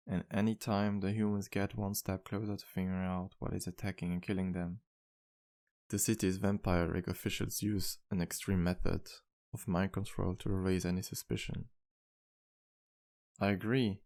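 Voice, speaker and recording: A man playing a low, creaky-voiced charming vampire bartender. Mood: somber, remorseful. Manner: gentle, courteous, slightly formal phrasing. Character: charming, polite, modest male vampire bartender with guilty past. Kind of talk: ASMR roleplay, intimate one-sided dialogue.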